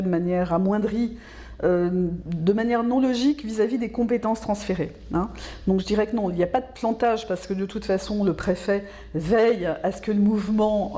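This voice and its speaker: A woman giving explanatory interview answers as a specialist, in a measured, didactic way, repeating words for emphasis. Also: mid-range voice, warm tone. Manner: measured, didactic, emphatic repetition, checks understanding with tags. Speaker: local government specialist being interviewed.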